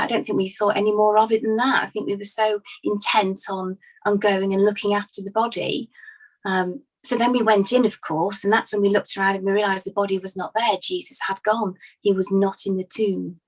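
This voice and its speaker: A woman acting as a devoted woman witness with a medium-pitched voice. She testifies earnestly in everyday speech, hesitating as she recalls events in a dramatized inquest. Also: lightly acted, poor audio.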